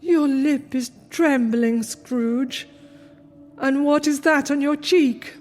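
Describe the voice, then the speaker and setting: Woman doing a grave spirit, commanding and slow, long echo effect, soft music behind. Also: sits high, steady tone. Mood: solemn. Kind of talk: radio drama acting. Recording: reverb effect on the voice.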